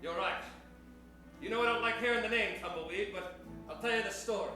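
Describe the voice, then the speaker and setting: A man playing a folksy old-west sheriff in an improvised radio western, halting and dramatic. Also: high voice. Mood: grave, reluctant. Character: gruff, courtly old-west sheriff, war veteran. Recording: echoey stage, loud music behind.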